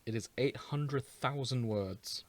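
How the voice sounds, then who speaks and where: A man's voice: medium pitch, slow. A man co-hosting a podcast, chatting casually about fan fiction. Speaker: podcast co-host.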